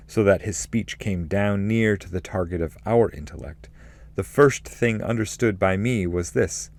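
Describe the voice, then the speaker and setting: A man giving a scripted literary narration and reading of poetry, in a measured, formal way. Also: low-pitched, darkish tone. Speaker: narrator, reader.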